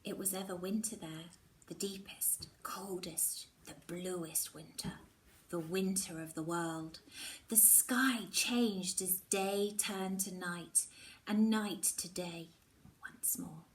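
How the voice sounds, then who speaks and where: Lady teacher reading a book out loud, slow, expressive, sometimes whispery, mic a bit far away. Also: on the low side, a little hiss or hum. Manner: expressive, drops to whispers in tense passages. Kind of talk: storybook reading.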